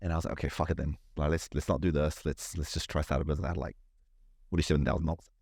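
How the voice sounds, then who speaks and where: Deep-voiced New Zealand man, swearing casually. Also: flat delivery, very fast, darkish tone, slightly rough, dry recording, studio quality. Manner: swearing, rambling, repeats words. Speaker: podcast guest. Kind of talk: casual chat.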